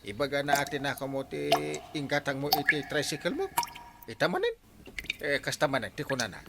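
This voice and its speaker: A man's voice: fairly high pitch, very animated, a little fast, heavy background noise. A man playing an exclaiming village peacemaker in an Ilocano comedy drama. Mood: complaining. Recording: loud music behind dialogue.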